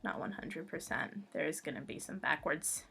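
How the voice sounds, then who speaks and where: A woman's voice: sits low, steady tone, normal speed, gravelly, sharp tone, husky. A woman answering fan questions about her own comic in a casual, jokey and informal way. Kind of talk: casual playful chat.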